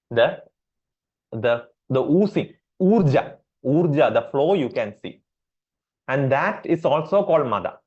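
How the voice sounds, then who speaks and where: A man with an Indian accent teaching a class on Sanskrit verses, repeating each term slowly with many fillers. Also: medium pitch, a little mellow, muffled recording. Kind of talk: explanatory class lecture.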